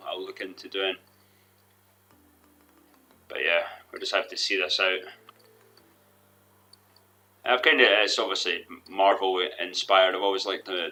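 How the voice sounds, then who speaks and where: A young man commentating his own football management game in a rambling, hesitant way, his voice a little hoarse from losing it. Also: low voice, pushed and bright, slight background noise. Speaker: gaming commentator. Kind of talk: let's play commentary. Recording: faint background music.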